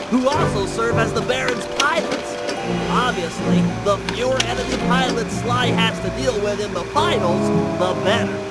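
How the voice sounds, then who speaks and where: A nerdy little planner guy from a video game, super high voice, formal and wordy, music blaring behind. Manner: precise, formal, lecturing briefing delivery. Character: nerdy male young gadget-genius strategist, earnest and wordy planner. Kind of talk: animated video game cutscene dialogue. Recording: loud music under the voice.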